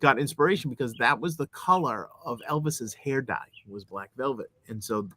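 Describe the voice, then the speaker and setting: Rambling man with a bright voice. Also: mid-range voice, normal speed. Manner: rambling, hesitant, full of ums. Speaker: podcast co-host. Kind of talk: rambling comedic banter.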